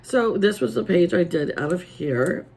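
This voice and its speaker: A raspy-voiced middle-aged woman hosting a casual show-and-tell, chatty and homey, filmed at her table at home. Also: on the low side, expressive, a little slow, a little mellow. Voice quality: raspy today. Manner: chatty and homey, many ums. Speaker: coloring channel host. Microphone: overhead camera over the table.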